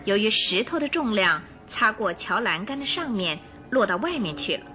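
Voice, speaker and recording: A woman narrating a scripted radio drama with expressive storytelling. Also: medium pitch, moderate pace. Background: music.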